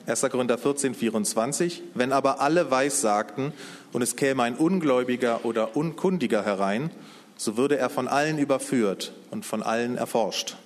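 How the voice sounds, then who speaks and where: A man from Berlin interpreting a sermon phrase by phrase in a steady manner. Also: mid-range voice, normal speed. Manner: steady, follows the speaker phrase by phrase. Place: church hall. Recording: long hall reverb.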